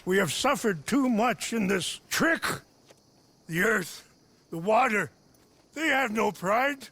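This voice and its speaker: Weary old chief, slow and gravelly. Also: male voice, high-pitched, expressive, sharp tone, full character voice. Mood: solemn, weary. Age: older. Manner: solemn, measured, imploring. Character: weary old male chief pleading for his people, a father.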